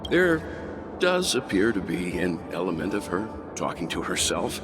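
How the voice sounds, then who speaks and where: Composed mature mentor. Low, measured, authoritative; heavy score behind. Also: male voice, big pitch swings. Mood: calm. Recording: loud game music behind.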